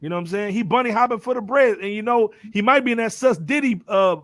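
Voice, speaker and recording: A fairly high-voiced American man hosting a casual music panel. His talk is slangy and loose, with phrases repeated for emphasis, and the sound is close and dry. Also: fast, fairly bright tone, dry recording. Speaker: host of a music podcast panel.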